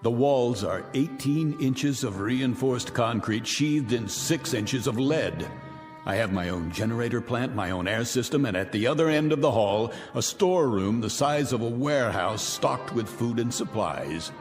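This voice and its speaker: An actor playing an arrogant, vengeful millionaire in a radio drama, speaking in a theatrical, pompous, taunting and articulate way. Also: male voice, medium pitch, animated, measured pace, close to an everyday voice. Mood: smug, commanding. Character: vengeful, arrogant millionaire man holding old enemies captive. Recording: reverberant radio drama with music underneath.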